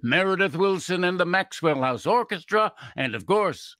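Animated 1940s radio announcer, high-pitched man. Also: normal speed, dry recording, studio quality. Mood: upbeat.

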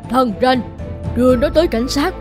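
A woman's voice: high-pitched, moderate pace, music in the background, close to an everyday voice. A woman voicing an indignant, protective village woman in a cartoon melodrama, emphatic and reproachful.

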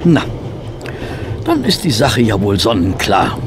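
A man's voice: fairly low pitch, huge pitch swings, unhurried. An actor playing a detective's puzzled, plain-minded male companion, flustered and questioning in a radio play. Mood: confident. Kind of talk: German radio play dialogue. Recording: clear vehicle noise behind.

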